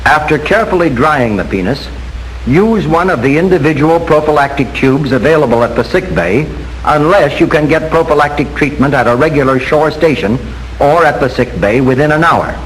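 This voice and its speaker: An American man reads a scripted 1940s instructional film narration in a formal, measured, instructive manner. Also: on the high side, lively intonation. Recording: noisy 1940s film soundtrack with hiss.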